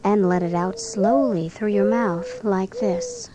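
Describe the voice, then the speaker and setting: A woman narrating a guided bedtime relaxation for children in a gentle, soothing, unhurried manner. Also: mid-range voice, huge pitch swings. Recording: clearly noisy recording with background ambience.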